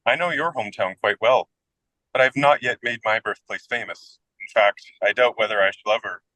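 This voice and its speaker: Man acting a bright-voiced, wry young writer. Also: fairly low pitch, normal speed, no room sound, rough recording. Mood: wry, mock-dramatic. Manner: wry, wordy, theatrical. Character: self-deprecating, whimsical American male writer of twenty-six. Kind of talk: radio play comedy dialogue.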